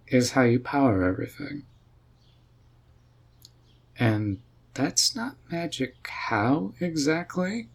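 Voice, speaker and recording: Man playing a husky, easygoing young-hearted mage. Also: medium pitch, heavily acted. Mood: puzzled. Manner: casual, easygoing, puzzled questioning. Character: laid-back, friendly, curious male mage and adventurer. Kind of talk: roleplay audio drama for the listener.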